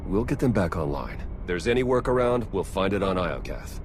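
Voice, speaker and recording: An actor playing a loyal, plain-spoken soldier in a video game, with a dry manner. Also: male voice, low-pitched, animated, brisk. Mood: concerned, resolute. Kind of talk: video game cutscene acting. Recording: clear noise behind.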